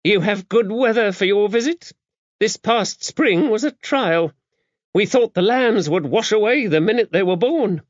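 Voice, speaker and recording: Man voicing a high-pitched, gruff older nun. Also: lively intonation, unhurried, dry recording, lo-fi sound. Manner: stern, brusque, matter-of-fact. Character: gruff, stern, worldly-wise older nun, large-framed. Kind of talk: audiobook character dialogue.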